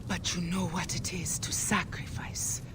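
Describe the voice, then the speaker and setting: A woman's voice: on the low side, level tone, unhurried, background noise, close to an everyday voice. A woman voicing the regal, solemn spirit of an Egyptian queen in a video game, stately and often slipping toward a whisper. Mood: solemn, pleading. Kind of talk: dramatic video game acting. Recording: music behind the voice.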